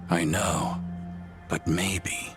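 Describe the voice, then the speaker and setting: Fantasy game scout: low, gruff, grave, with music underneath. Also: male voice, steady tone, extremely slow, fairly bright tone, full character voice. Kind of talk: game dialogue acting.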